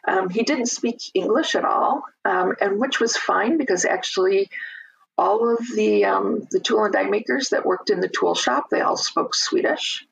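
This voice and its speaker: A middle-aged guest from Chicago, talking in an unhurried, thoughtful way with many pauses in a casual interview. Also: mid-range voice, gravelly, a bit bright, slightly rough, dull audio.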